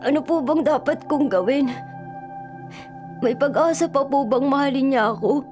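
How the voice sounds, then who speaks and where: A woman's voice: high-pitched, normal speed, over music, natural voice. A woman voicing a timid, mistreated young boy in a Filipino radio drama, meek and plaintive. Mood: sad, pleading.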